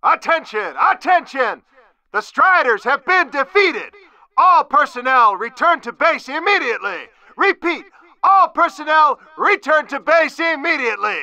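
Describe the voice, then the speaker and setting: A man's voice: very high-pitched, animated, a little slow, bright, forceful tone, dry recording, full character voice. An actor playing an alert male base announcer in a video game, calling out battle warnings in an urgent, clipped manner. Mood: official, commanding.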